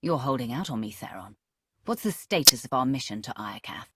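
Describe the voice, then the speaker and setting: A woman's voice: a bass voice, animated, fairly quick, fairly bright tone, a little hiss or hum. A woman playing a ruthless, imperious empress in a video game, cold and commanding in manner. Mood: cold, menacing. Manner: commanding, menacing. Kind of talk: video game cinematic acting.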